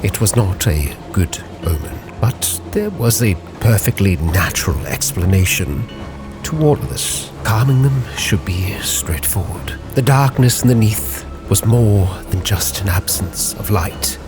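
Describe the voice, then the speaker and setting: A man narrating a dramatic story as a sea captain reading his log, solemn and measured, with phrases that sink into a hush. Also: on the low side, lively intonation. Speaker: narrator and roleplayer. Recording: music under the voice.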